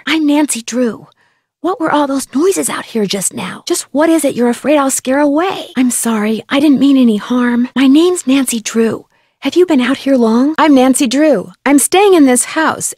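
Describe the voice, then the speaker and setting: A woman playing a curious, polite young amateur detective in an adventure game, speaking in an earnest, clearly enunciated, inquisitive way. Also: sits high, darkish tone, no room sound, studio quality. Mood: questioning, earnest. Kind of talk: scripted adventure game dialogue.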